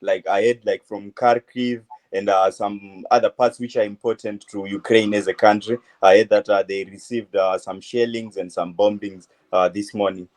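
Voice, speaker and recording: A man in his twenties from Zimbabwe, a medical student answering interview questions in a halting way, full of uh fillers. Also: on the low side, fairly even intonation, a little mellow. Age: 20s. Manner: hesitant, many uh fillers, halting phrases. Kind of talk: phone interview.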